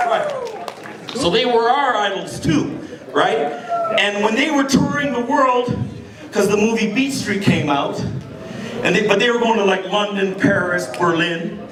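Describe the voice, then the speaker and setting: A middle-aged Canadian man with a high voice tells a story on stage in a loose, slangy, animated way. He is heard in an echoey space with an audience crowd around him. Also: distant mic. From Canada, near Detroit on the Canadian side. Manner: animated, slangy, informal, acts out dialogue. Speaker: b-boy storyteller. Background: audience crowd and laughter.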